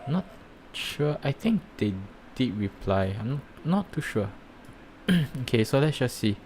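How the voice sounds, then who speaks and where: A man with a Singaporean accent giving rambling, halting commentary while live-streaming reviews of projects. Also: mid-range voice, very animated, a little mellow, a little hiss or hum. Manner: rambling, halting, many fillers and restarts.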